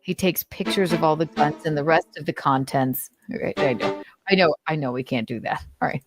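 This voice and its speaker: A woman's voice: sits low, a little fast, over music. A woman livestream host doing a dramatic reading, breaking off into sweary, sarcastic casual commentary. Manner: sweary, sardonic asides.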